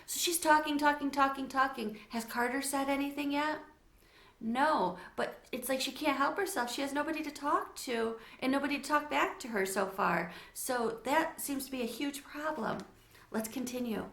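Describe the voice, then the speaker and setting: A woman reads a children's story aloud in an expressive, teacherly way, turning to address her listeners. Her voice is bright and forward, and the untreated room adds clear echo. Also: sits high, normal speed.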